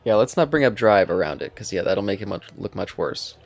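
A man's voice: on the low side, big pitch swings, fairly quick, creaky, a little mellow. A man chatting casually about films as a podcast co-host, with a hesitant, rambling delivery.

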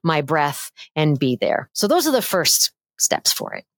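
A middle-aged woman voice coach chatting as a guest on a remote interview, animated and playful, demonstrating voice techniques. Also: measured pace, gravelly, no echo, clean studio sound. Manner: animated and playful, demonstrates pitch changes with her own voice. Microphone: headphones with mic, remote call.

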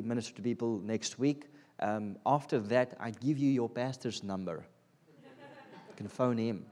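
A man's voice: mid-range voice, darkish tone. A man in his thirties with a South African accent, Afrikaans his first language, giving an informal sermon as a guest preacher, hesitant with many ums and restarts. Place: church sanctuary. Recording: reverberant church hall.

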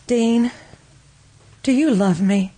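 Woman acting a heartbroken young widow, real high voice, obsessive and pleading, old radio melodrama style. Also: slow pace, mellow. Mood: desperate pleading. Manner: fervent, melodramatic, insistent.

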